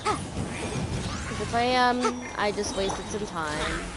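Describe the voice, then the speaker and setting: A woman doing casual live gameplay commentary as a game streamer, talking haltingly and thinking aloud to herself. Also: mid-range voice, very noisy. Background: video game music.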